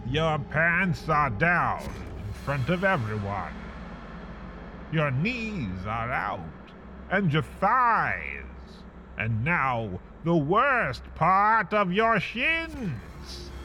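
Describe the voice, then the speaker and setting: A man hosting and narrating a scripted comic horror piece, theatrical and drawn out, swinging from whispers to shouts. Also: fairly high pitch, wildly swooping pitch, drawn-out words, music in the background. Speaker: host and narrator.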